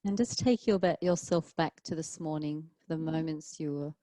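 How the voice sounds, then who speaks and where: Low, mellow woman guiding relaxation. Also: no echo. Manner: calm, soothing, gentle instruction with long pauses. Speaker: yoga teacher leading a class. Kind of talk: guided relaxation, yoga nidra.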